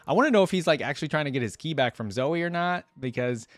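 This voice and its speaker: Young guy doing reaction commentary, excited and slangy, dry close sound. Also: fairly high pitch, fast talker, no echo. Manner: enthusiastic, informal slang. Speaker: reaction video host. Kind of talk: casual fan commentary.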